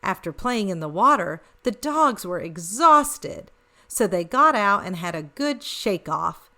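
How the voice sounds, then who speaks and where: A woman reading a story aloud for children as host, expressive and speaking directly to young listeners. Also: medium pitch, a little slow.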